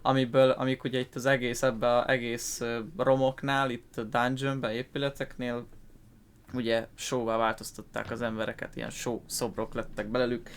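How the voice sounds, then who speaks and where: A man's voice: medium pitch, level tone, a little fast, clear, resonant tone. A Hungarian man giving casual let's play commentary, explaining things in a rambling, informal, coarse way. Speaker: gaming YouTuber.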